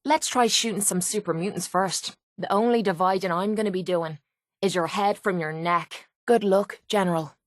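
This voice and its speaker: A woman's voice: mid-range voice, moderate pace, no room sound, studio quality, lightly acted. A woman playing a cynical, defiant young female brawler in a video game, with an Irish accent and a blunt, sardonic, slangy delivery. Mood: wry, bitter. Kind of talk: video game character dialogue.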